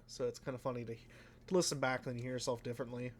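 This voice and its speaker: A man casually commenting while he plays a racing game, rambling and self-deprecating, with a flat, slightly nasal voice that trails off into mumbles. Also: mid-range voice, fast talker, slight background noise. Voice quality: sounds flat and a bit nasal, by his own account. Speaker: let's play host.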